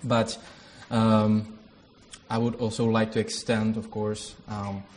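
Hesitant Czech-accented man, low flat voice. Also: takes it slowly, slight background noise. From Czech Republic. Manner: hesitant, many fillers, self-deprecating. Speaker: theology lecturer leading a workshop. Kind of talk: informal workshop talk with a prayer.

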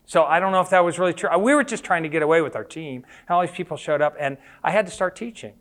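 A man's voice: a little high, lively intonation, quick pace, a bit bright, voice pushed up. A man voicing a weary male missionary who talks in a rambling, apologetic way. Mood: tired, apologetic.

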